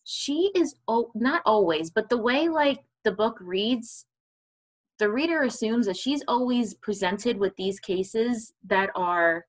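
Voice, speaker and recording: A woman's voice: fairly high pitch, animated, slow. A woman co-hosting a casual book discussion, thinking aloud and repeating phrases as she talks. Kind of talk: casual chat.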